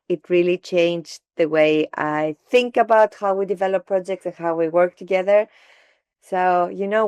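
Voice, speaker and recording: A woman hosting an online session, introducing the guest speaker in a halting way with many pauses. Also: fairly low pitch, clear, resonant tone, no echo, clean studio sound. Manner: halting, searching for words, many ums. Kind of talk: formal introduction with hesitations.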